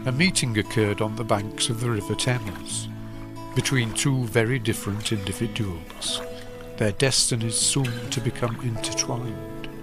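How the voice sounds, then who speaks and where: A man narrating a scripted drama introduction in a measured, formal manner. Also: fairly low pitch, steady tone, over loud music.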